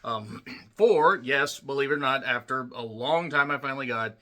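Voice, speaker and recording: A man with a slightly rough, worn voice giving a casual spoken movie review, blunt and full of swearing, clearing his throat often. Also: mid-range voice, normal speed, bright, forceful tone. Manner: blunt, profane ranting with frequent throat clearing. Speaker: film reviewer.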